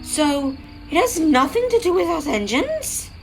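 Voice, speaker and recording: A woman's voice: high-pitched, big pitch swings, a little slow, vocal fry, exaggerated voice, low-quality recording. A woman playing a friendly, innocent young female train engine in an audio drama. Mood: anxious. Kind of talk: audio drama character acting. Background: music, night insects.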